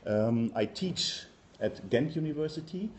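A man in his forties with a German accent, giving an academic conference talk in a hesitant, halting manner with frequent pauses. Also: on the high side, animated, darkish tone, a little hiss or hum. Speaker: university professor, conference speaker. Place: lecture room, indoors.

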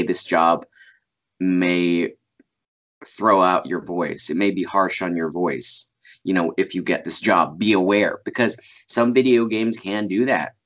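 A man in his thirties chats loosely from a small room at home, circling back with fillers and quick laughs. His voice sits a little low. The video call audio is muffled and dull. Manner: rambling, laughs often, many fillers and restarts. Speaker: voice actor guest. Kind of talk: casual interview chat.

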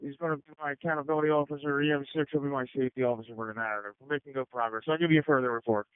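A man's voice: mid-range voice, steady tone, very fast, clear, resonant tone, no room sound. A man commanding a house fire, giving clipped status reports over radio. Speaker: fireground incident commander. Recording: phone-like scanner radio audio.

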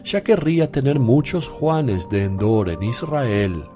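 An actor playing a grave, compassionate and firm holy teacher in a Spanish radio drama, speaking solemnly and deliberately. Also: male voice, mid-range voice, big pitch swings, over music. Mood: solemn, tender.

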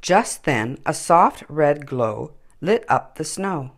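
A woman reads to children as a story time host. Her voice sits a little low and moves expressively up and down, with slow, deliberate pacing. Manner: deliberate, animated.

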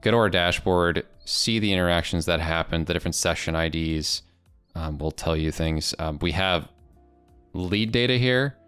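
A man in his twenties with an American accent, presenting a casual software demo into a close desk microphone in a small home studio. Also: deep voice, moderate pace, clean tone, soft background music. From United States. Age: 20s. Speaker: presenter of software review videos. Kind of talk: casual product demo narration.

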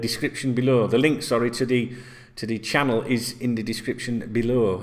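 A middle-aged man, ranting casually and swearing a lot as a football vlogger talking straight to camera in a small room. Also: on the low side. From Yorkshire, England. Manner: sweary, rambling, conversational. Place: small indoor room, plain wall.

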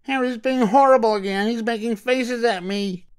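A man voicing an excitable little koala girl in a storybook, pouty and quick to wail and tattle. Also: very high voice, smooth, pitched above the performer's own voice. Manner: wailing, pouty.

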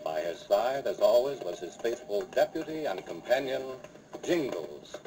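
A man's voice: on the low side, very animated, normal speed, low-quality recording. A man with an American accent narrating a radio western as a dramatic, declamatory announcer. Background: music.

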